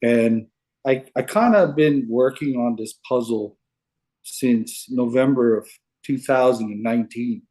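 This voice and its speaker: Slow, halting man on a video call. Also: medium pitch, a little mellow. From Hawaii. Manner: unhurried, halting, restarts often. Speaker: caller sharing puzzle theories. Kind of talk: casual video call chat. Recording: video call audio, breaking up.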